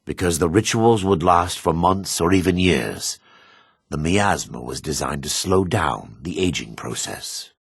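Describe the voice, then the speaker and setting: An actor playing a solemn, humble dark elf priest in a video game, speaking formally in measured, grave phrases with remorseful earnestness. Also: male voice, sits low, animated, breathy edge, no room sound, studio quality, exaggerated voice. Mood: grave, urgent. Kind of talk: video game character dialogue.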